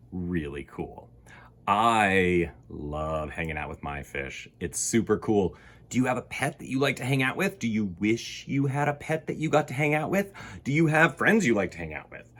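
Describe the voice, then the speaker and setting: A man hosting a children's storytime, chatting casually and encouragingly to young viewers in a husky voice. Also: medium pitch. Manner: animated, encouraging, simple direct questions.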